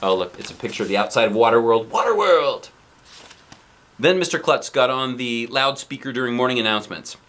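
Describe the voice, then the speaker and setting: A man reading a children's storybook aloud in an expressive, animated narrating style. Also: mid-range voice, fairly quick. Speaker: storybook reader, dad.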